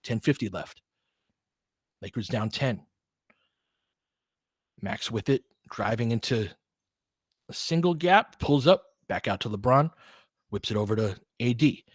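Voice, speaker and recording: Male play-by-play host for basketball. Conversational, thinks out loud, breaks down the action, recorded dry. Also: medium pitch, animated, measured pace, long pauses, husky, no room sound. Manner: conversational, thinking aloud, analytical. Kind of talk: live basketball commentary with casual asides.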